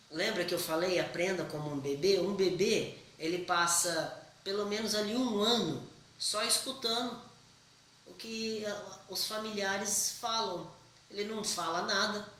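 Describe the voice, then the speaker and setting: Young man, chatty vlogger, bright voice, roomy echo, a bit off-mic. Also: high voice, distant mic, a little hiss or hum. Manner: chatty, keeps asking "certo?", slangy and blunt. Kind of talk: casual explainer to camera. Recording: echoey room, voice a bit off-mic.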